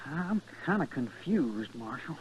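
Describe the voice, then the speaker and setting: A man playing a naive, stubborn young cowboy with halting country speech in 1950s radio style. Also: medium pitch, sing-song, breathy edge, full character voice. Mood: confused, halting. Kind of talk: 1950s radio western acting. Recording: muffled 1950s radio recording.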